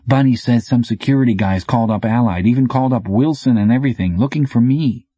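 A man's voice: medium pitch, quick pace, mellow, breathy edge, no room sound, lo-fi sound, pitched above the performer's own voice, close to an everyday voice. A man voicing a scrappy, defiant but scared young female bike messenger, terse and earnest, in an audiobook. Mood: scared, serious. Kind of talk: audiobook character dialogue.

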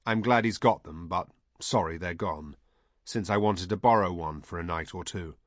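A man voicing a kindly, grave old wizard in an audiobook, speaking gently and with wry understatement. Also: sits low, moderate pace, no echo, clean studio sound, natural voice. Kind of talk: audiobook character voice.

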